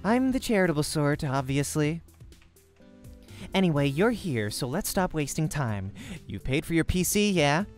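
A man's voice: on the high side, big pitch swings, normal speed, faint music behind. A man voicing a curt, sarcastic, competitive young woman pro gamer from a visual novel. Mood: dry, sarcastic.

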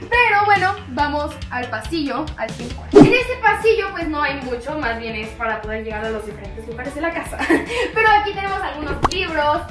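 A woman's voice: high-pitched, recorded from a distance. A young woman giving a chatty, laughing house tour vlog to her handheld camera, walking through a large house. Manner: bubbly, chatty, often laughing. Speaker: vlogger. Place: large house indoors, rooms with hard surfaces. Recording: echoey rooms with music underneath.

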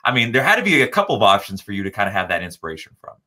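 Guy cohost, lowish bright voice, quick rambling question. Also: big pitch swings. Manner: rambling, filler-heavy questions. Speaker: podcast co-host. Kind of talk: asking interview questions. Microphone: studio mic with headphones.

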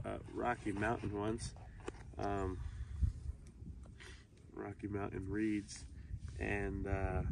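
A young man giving a casual, halting product review to camera as a vlog host, recorded outdoors at some distance from the camera. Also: fairly low pitch, very noisy. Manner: halting, unscripted delivery. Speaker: video host, hunter reviewing gear. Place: outdoors on an open grassy hillside. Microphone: camera a few metres away.

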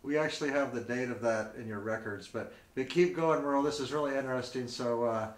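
A man's voice: mid-range voice, a little fast. Male interviewer, brief prompts, distant and roomy. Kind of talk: interview questions.

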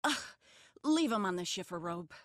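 A woman's voice: mid-range voice, lively intonation, normal speed, fairly bright tone, dry recording, clean studio sound, close to an everyday voice. An actress voicing an elderly woman with fussy, old-fashioned speech in a cartoon. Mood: stern. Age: older.

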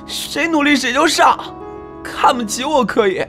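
A woman's voice: high voice, lively intonation, measured pace, a bit bright, soft background music. A woman playing a hot-headed, wounded young male actor in an audio drama, accusing and emotional. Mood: hurt, indignant. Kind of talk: Chinese audio drama acting.

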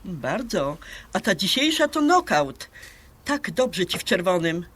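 A woman's voice: lowish voice, big pitch swings, measured pace, fairly bright tone, exaggerated voice. An actress playing a breezy, bossy middle-aged hostess in an audio drama, chatty and pushy, dropping English phrases into her talk. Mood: teasing. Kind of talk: audio drama acting, conversational.

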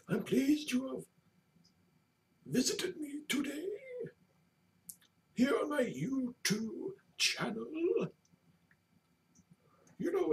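A whimsical, very old gentleman tells his tales with grand, halting drama. The pitch is extremely high and swings widely up and down. He is heard at a distance from a webcam microphone in a home room, like a comedy web storyteller. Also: exaggerated voice. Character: whimsical, doddering, very old male storyteller, theatrical. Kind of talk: comedic in-character storytelling to camera. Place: small home room, indoors. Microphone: webcam at desk distance.

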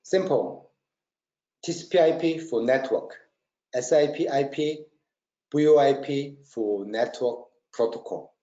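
A man presenting a technical webinar in halting non-native English, checking often that listeners follow. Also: medium pitch, mellow. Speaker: webinar presenter, company marketing trainer. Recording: roomy, dull lo-fi webinar audio.

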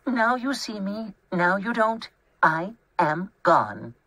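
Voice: mid-range voice, sing-song, slow, no room sound, pitched above the performer's own voice, heavily acted. A storybook reader voicing a small, polite, meek but persistent mouse with a dainty, courteous manner. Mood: polite, apologetic. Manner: soft-spoken, courteous, dainty.

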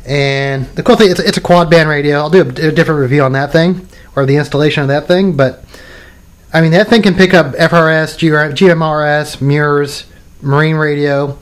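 A man's voice: on the high side, steady tone, a little fast. A man in his thirties casually showing off radio gear at his home workbench, rambling with many restarts and fillers. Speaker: radio hobbyist video maker. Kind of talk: casual show-and-tell demo.

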